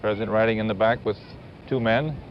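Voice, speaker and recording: A man's voice: on the low side, fairly even intonation, a little fast, a little hiss or hum. An American man reporting live outdoors as a 1950s television correspondent, describing the scene in short, clipped phrases on muffled old audio. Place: outdoors at a building entrance. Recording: muffled 1950s broadcast with music behind.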